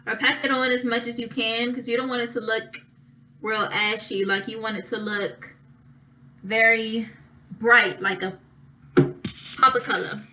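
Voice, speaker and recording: A young woman with a bright, slightly raspy voice talks her way through a makeup tutorial, pausing often and thinking aloud. Her tone sits a little high for a woman, and a faint room hiss runs underneath. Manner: thinking aloud, drawn-out pauses while applying makeup. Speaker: makeup vlogger. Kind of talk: casual makeup tutorial chat.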